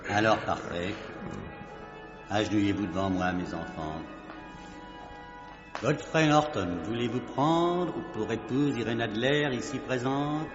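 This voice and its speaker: Un prêtre solennel dans une église réverbérante. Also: male voice, fairly low pitch, animated, slow, long pauses. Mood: solemn. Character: brisk, fussy priest officiating a hurried wedding. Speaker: priest. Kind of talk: French radio drama acting. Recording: dull, very echoey church-like hall, music behind.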